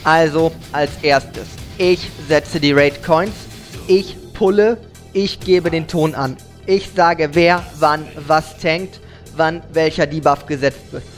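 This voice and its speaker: A man's voice: a little high, slow pace, faint music behind. A man acting a cocky, bossy night elf hunter in a comedic fantasy game audio drama. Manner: arrogant, bragging, commanding.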